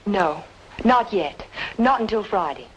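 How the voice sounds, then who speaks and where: A woman's voice: mid-range voice, lively intonation, measured pace, lo-fi sound, slight background noise, close to an everyday voice. An actress playing an anxious but stubborn young woman in an old-time radio noir drama, with an intense, emotive delivery. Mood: pleading, insistent.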